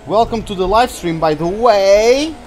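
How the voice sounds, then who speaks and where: A young man with a Portuguese accent chats through a live gameplay stream, laughing easily as he drives. His voice sits fairly high, and he talks at an unhurried pace. Faint engine and room noise hums underneath. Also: animated. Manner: chatty, laughs often while playing. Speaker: gaming streamer.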